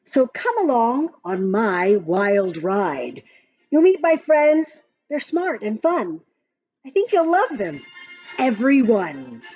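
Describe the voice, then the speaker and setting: A woman reading a rhyming picture book aloud to young children in an expressive, sing-song way, with counting and little asides. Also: a little high, slow. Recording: muffled lo-fi audio with background music. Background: music from a picture-book app.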